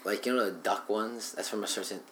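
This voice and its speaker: Laid-back man, very low voice, slangy casual chat, faint hiss. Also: fairly even intonation, fairly quick, fairly bright tone. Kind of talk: casual chat among friends.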